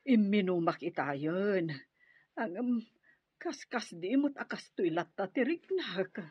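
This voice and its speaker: An anxious woman voiced by an actress talks slowly in a very breathy, rough voice, nervous and troubled. The radio drama recording is dry and a little dull. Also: mid-range voice, expressive, no room sound. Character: anxious, distressed woman with pounding nerves. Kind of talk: Ilocano radio drama acting. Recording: slightly muffled, dry studio recording.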